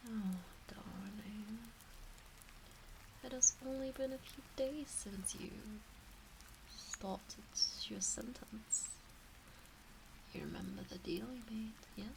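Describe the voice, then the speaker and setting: A woman voicing a teasing, doting kitsune witch in an intimate ASMR roleplay, with a soft breathy voice that often slips into whispers and coaxing baby-talk. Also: medium pitch, expressive, slow pace, pauses often, background noise. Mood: hushed, gently condescending. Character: teasing, doting kitsune fox witch fussing over her pet. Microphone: close ASMR microphone.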